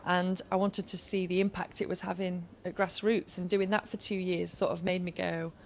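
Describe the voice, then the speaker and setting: A woman's voice: on the low side, normal speed, a little hiss or hum. A woman in her thirties with a Yorkshire accent, answering interview questions in an earnest, advice-giving way. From Yorkshire, England. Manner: earnest, advice-giving, talks in a steady flow. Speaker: interviewee, former Olympic organising staff.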